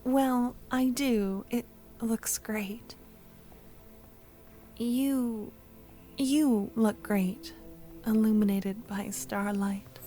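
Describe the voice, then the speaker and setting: A woman playing a shy, excitable, tender young friend in love in a soft-spoken ASMR audio roleplay, breathy and often near a whisper. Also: on the high side, extremely slow, long pauses, faint music behind. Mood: bashful, tender.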